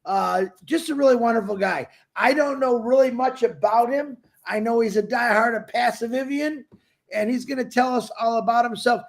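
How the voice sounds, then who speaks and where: A man's voice: very high voice, normal speed, gravelly. A man co-hosting a live stream, introducing a guest in a jokey way with lots of greetings. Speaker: co-host.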